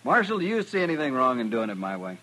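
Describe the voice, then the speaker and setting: An actor playing a polite, persuasive, self-assured city buyer in an old-time radio western. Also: male voice, mid-range voice, sing-song, fast talker, bright, forceful tone. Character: smooth-talking, insistent male city buyer. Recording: vintage radio recording.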